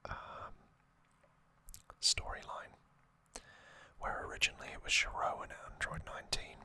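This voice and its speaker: A man hosting an ASMR video, giving a casual recap in a breathy, unvoiced whisper with a halting, intimate delivery. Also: bright, forceful tone.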